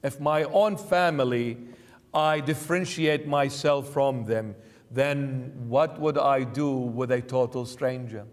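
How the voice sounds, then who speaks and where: A man's voice: mid-range voice, slow. A middle-aged man preaching an emphatic sermon in a church, driving points home with repeated lists and rhetorical questions. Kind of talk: sermon commentary. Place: church sanctuary, indoors. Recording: reverberant church hall.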